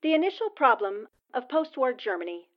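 A woman's voice: a little high, big pitch swings, a little fast, muffled recording. A woman playing a newspaperwoman formally dictating a column, heard through a dictaphone playback in a 1940s radio drama. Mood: measured. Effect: dictaphone recording playback. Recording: noisy, band-limited old dictaphone playback.

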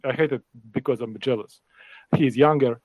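A middle-aged man with a Ukrainian accent talks through his thoughts in a panel chat, stumbling and restarting as he hunts for words. His voice sits at an ordinary pitch and comes across close and dry, with little room around it. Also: no room sound, phone-quality sound. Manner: halting, searching for words, many restarts. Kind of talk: casual group discussion.

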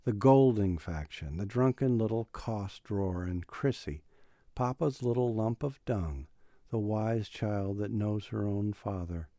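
A man's voice: fairly low pitch, unhurried, dark tone, no echo, studio quality. A man voicing an angry, scornful Irish father in an audiobook novel, indignant and emphatic. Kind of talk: audiobook character dialogue.

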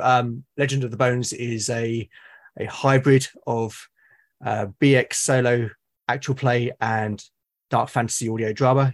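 A man with a British accent speaks as a guest in a relaxed podcast conversation. His voice is mid-pitched and a little rough. He phrases things thoughtfully and haltingly, building each point step by step. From Britain. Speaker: podcast guest, game master and podcast creator. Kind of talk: casual interview conversation.

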